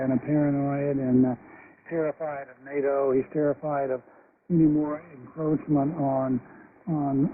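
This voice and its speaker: An American man, a journalist on a panel, giving unscripted news analysis in halting, measured phrases with repeated words. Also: mid-range voice. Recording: muffled, faintly noisy shortwave radio broadcast.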